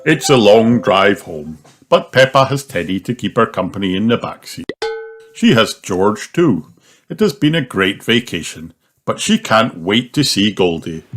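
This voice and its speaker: A storyteller reading a children's picture book aloud in an expressive, exaggerated way. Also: medium pitch, measured pace, soft background music. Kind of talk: animated scripted narration of a picture book. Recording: clearly noisy recording with clicks.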